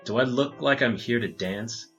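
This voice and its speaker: Hardboiled male barfly detective, played by an actor, terse dry wit, average pitch, noir comedy with music bed. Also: normal speed, lightly acted. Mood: deadpan, guarded. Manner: deadpan, terse. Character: jaded, wisecracking male loner detective drinking at speakeasy. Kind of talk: hardboiled comedic audio drama acting.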